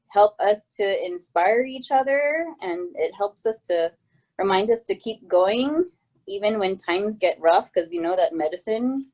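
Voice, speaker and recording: A woman's voice: lowish voice, darkish tone. A woman in her thirties from California, a physician speaking earnestly and reflectively, with fillers. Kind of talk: video testimonial.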